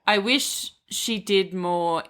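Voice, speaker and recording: A woman's voice: medium pitch, slow pace, a bit bright. A woman co-hosting a podcast, talking through her opinions in a casual, conversational book discussion.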